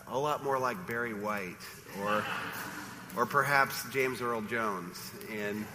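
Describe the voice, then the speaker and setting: A man preaching a sermon as pastor, hoarse from a sore throat, with an informal, self-deprecating manner. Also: medium pitch, noisy background. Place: church sanctuary. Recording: reverberant church hall, faint ambience.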